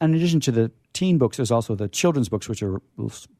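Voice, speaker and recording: A man hosting a casual live radio chat, animated and playfully contrary, who often stammers and repeats words and phrases. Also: medium pitch, quick pace, dry recording, studio quality. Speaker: radio show host.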